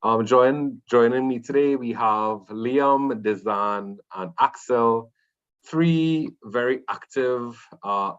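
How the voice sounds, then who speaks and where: A man's voice: on the low side, a bit bright. A man opening and moderating an online panel discussion, with frequent hesitant fillers. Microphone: video call. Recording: dull lo-fi video call audio.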